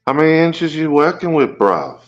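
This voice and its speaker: A man's voice: fairly high pitch, sing-song, moderate pace. A man bantering casually in a playful, teasing, mock-indignant manner. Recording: slightly dull audio with faint music.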